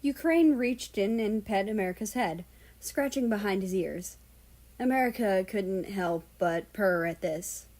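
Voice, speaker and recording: A woman reads a story aloud as narrator. Her pitch sits in the middle range for a woman, and she delivers the text as storytelling narration. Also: a little slow, a little mellow. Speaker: fan fiction reader.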